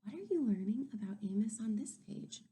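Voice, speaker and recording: A woman teaching kindergarten through a read-aloud, thinking aloud and posing simple questions to children in an expressive, emphatic way. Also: on the high side, a little fast. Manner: expressive, emphatic storybook delivery.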